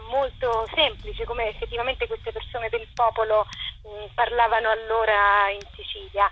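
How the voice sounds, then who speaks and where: A woman being interviewed as an actress and writer, explaining thoughtfully and haltingly as she searches for words, over a lo-fi phone-like line. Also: fairly high pitch, pushed and bright. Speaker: actress and co-author interviewed about her play. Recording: muffled lo-fi phone-like line with noise.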